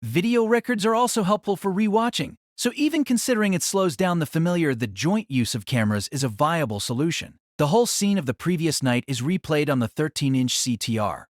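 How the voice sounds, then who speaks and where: Narrator for an audio drama: man, slightly high voice, very varied melody, echo-free sound. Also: expressive, a little fast, hardly any pauses, clean studio sound.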